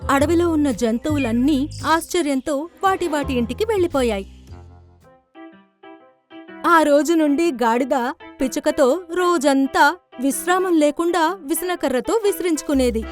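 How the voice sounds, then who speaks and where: A woman's voice: high voice, measured pace, long pauses. A woman narrating a scripted children's story. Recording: music bed behind the voice.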